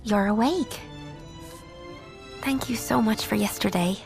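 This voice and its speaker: A woman playing a gentle, polite village oracle in a video game, soft-mannered. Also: medium pitch, measured pace, creaky, faint music behind. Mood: gentle, grateful. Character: gentle, grateful young female village oracle. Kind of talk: video game cutscene acting.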